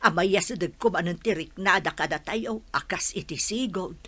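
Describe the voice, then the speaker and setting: A woman's voice: fairly low pitch, moderate pace, a bit bright. A woman playing a worried, complaining middle-aged village mother in a Filipino radio drama.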